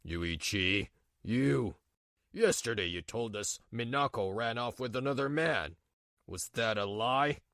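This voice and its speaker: A man voicing a stern, dignified older father in a manga dub, speaking gravely and admonishing. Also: mid-range voice, lively intonation, slow, fairly bright tone, dry recording, clean studio sound, pitched below the performer's own voice. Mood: disappointed, stern.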